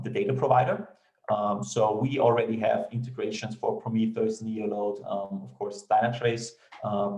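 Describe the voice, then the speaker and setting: A man's voice: medium pitch, darkish tone, roomy sound, low-quality recording. A man from Austria with an Austrian German accent and German as his first language, giving a remote technical conference talk with many fillers and restarts. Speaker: conference speaker.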